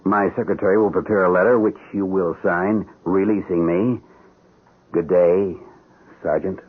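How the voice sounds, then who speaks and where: An actor playing a stern, coldly offended lawyer with a curt, formal manner in a radio drama. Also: male voice, low-pitched, steady tone, moderate pace, a little hiss or hum, close to an everyday voice. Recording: muffled, band-limited radio drama recording.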